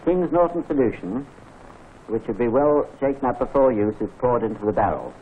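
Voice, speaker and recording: A British man giving measured, formal narration for a wartime training film. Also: medium pitch, expressive, breathy edge. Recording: tinny 1940s film soundtrack with faint hiss.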